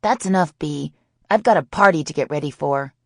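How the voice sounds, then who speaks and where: A mischievous teenage girl with a smart mouth, acted by a woman narrator talks in a low, creaky voice. She piles on teasing, mock-haughty sarcasm in a storybook romance. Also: fairly quick, no echo, clean studio sound.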